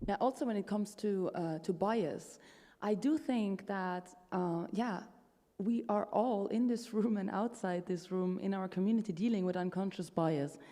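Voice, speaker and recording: A woman panelist talks in a thoughtful, earnest way. Her voice is mellow and dark, with an ordinary pitch. The sound rings with the long reverb of a conference hall. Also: measured pace. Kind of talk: panel discussion.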